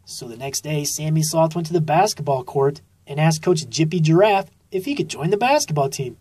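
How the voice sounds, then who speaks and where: A woman narrating a children's story expressively and deliberately, drawing out key phrases, recorded in a studio. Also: low-pitched, animated, mellow, breathy edge, dry recording. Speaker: author reading own children's book.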